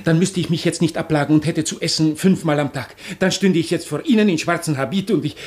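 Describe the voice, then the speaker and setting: An actor in a German radio play playing a wheedling, self-pitying swindler who pleads and justifies himself theatrically. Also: male voice, fairly high pitch, quick pace, darkish tone, lightly acted. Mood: self-justifying.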